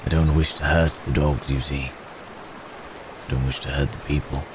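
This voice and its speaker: A man voicing a gentle, remorseful wounded werewolf in an intimate ASMR roleplay, speaking haltingly and formally, as if in pain. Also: a bass voice, flat delivery, noisy background. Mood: haunted, remorseful. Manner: halting, hesitant, soft-mannered storytelling with formal wording. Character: wounded male werewolf, gentle, remorseful, recounting his escape.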